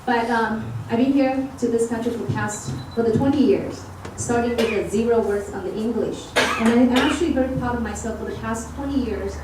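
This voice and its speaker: A woman's voice: fairly high pitch, brisk, hardly any pauses. A woman with a Chinese accent, a school board candidate, giving an earnest forum statement in non-native phrasing. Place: outdoor park event. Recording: echoey, far from mic, noisy.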